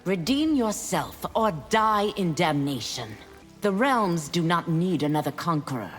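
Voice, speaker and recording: Repentant warrior, sanctimonious, expressive pitch, solemn, game dialogue, music behind. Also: female voice, measured pace, big-room sound. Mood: grave, righteous. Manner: sanctimonious, grave. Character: solemn, repentant demon-turned-saint warrior, weary. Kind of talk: fighting game character dialogue.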